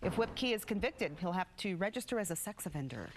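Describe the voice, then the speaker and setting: Female American morning news anchor, medium-pitched, reading scripted stories. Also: expressive, quick pace, a little hiss or hum.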